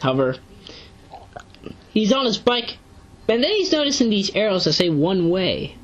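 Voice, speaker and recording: A woman giving casual, unscripted commentary as an online reviewer, rambling and halting as she corrects herself. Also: medium pitch, big pitch swings, muffled recording, slight background noise. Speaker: online video reviewer and narrator. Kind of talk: casual unscripted commentary recapping a web series.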